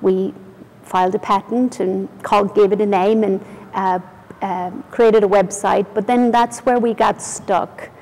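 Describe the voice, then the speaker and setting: A woman's voice: mid-range voice, fairly even intonation, unhurried, darkish tone. Chatty Irish woman in a roomy space. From Ireland or UK. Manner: chatty, self-deprecating, many restarts. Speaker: company founder, guest. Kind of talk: casual interview storytelling. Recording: reverberant room.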